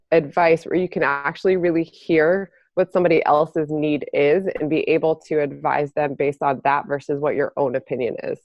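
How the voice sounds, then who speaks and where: Low-pitched woman co-host explaining calmly, dry and slightly dull recording. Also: dry recording. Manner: thoughtful, many ums. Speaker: podcast co-host. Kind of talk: explaining, casual chat.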